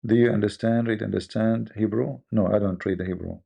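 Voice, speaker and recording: Gravelly blunt man with an Arabic accent. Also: fairly low pitch, fairly even intonation, brisk, highs cut off. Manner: blunt, scornful, repetitive. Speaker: host. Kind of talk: live debate stream talk.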